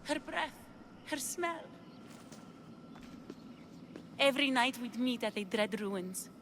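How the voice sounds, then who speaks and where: A woman's voice: a little high, lively intonation, unhurried, a bit bright, a little hiss or hum. An actress playing a grieving young woman longing for her lost lover in a video game cutscene, speaking in an earnest, heartfelt way. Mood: sorrowful.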